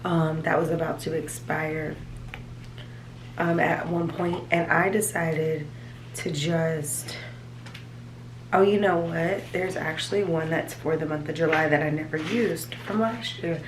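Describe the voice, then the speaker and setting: A woman with a raspy, allergy-hoarse voice, chatting casually to her viewers at home, rambling as she shows her planner. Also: low-pitched, fairly even intonation, measured pace, roomy sound, a little hiss or hum. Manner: rambling, chatty, talks to viewers as "you guys". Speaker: vlogger. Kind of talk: casual chat. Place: home, at a desk.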